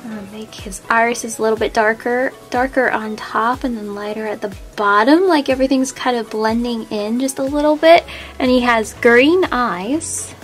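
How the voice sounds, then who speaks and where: A woman's voice: on the high side, moderate pace, faint music behind. A woman hosting a step-by-step drawing tutorial, friendly and easygoing, a little sniffly from a cold. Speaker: art tutorial host.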